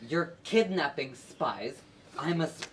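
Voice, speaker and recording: A man's voice: on the high side, lively intonation, measured pace. Distant, noisy teen actor as captive male spy. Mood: baffled, questioning. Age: teenager. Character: confused captive male spy held in a psych ward. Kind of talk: amateur stage play acting. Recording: distant noisy stage recording with clicks.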